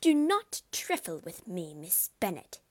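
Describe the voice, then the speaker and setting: A woman's voice: on the high side, big pitch swings, slow pace, dry recording, exaggerated voice. A woman voicing a domineering elderly aristocratic lady who talks in an imperious, scolding way, raised above her own voice.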